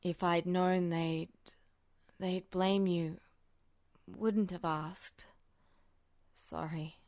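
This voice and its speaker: Woman voicing a dazed, rasping, very slow man. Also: lowish voice, little pitch movement, a little mellow, dry recording, studio quality, pitched below the performer's own voice. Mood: weary, apologetic. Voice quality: rasping, slurred, breathy near-whisper. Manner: halting, trailing off, insistent. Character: dazed, weary, apologetic older man in hospital.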